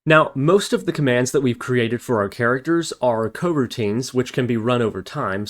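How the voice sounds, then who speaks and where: A man narrating an informal screen-recorded coding tutorial as the instructor, explaining each step and thinking aloud as he works. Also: mid-range voice.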